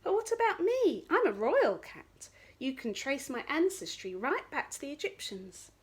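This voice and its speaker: A woman's voice: high voice, very animated, moderate pace, voice pushed up. A woman voicing a proud, helpful royal cat, self-important and encouraging.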